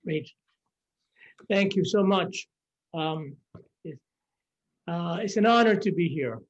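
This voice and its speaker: An older man with a Cuban Spanish accent, a bishop giving a formal conference address over a video call, measured with hesitant fillers. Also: fairly high pitch, lively intonation. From Cuba. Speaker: auxiliary bishop, conference speaker. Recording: dull lo-fi video call audio.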